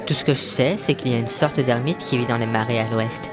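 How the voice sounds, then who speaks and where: Comedy audio role: flirty male islander man acting, suggestive, medium-pitched, over prominent music. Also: fairly even intonation, fast talker, natural voice. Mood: seductive.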